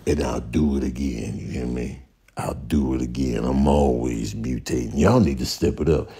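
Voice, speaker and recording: A man's voice: rumbling low, normal speed, warm tone, breathy edge, voice pushed down, heavily acted. A man voicing a cocky virus who boasts in swaggering, menacing slang in a comedy voiceover. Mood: smug, menacing. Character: cocky virus boasting among card-playing germs.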